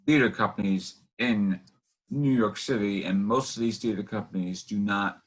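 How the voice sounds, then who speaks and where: A man hosting a casual interview, asking questions in a halting, rambling way with many restarts. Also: on the low side, low-quality recording. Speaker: interview host.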